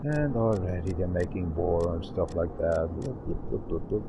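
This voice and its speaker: A man's voice: deep voice, expressive, moderate pace, noisy background. A man in his thirties streaming a game, rambling and swearing in a frustrated way. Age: 30s. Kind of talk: casual gaming stream chat.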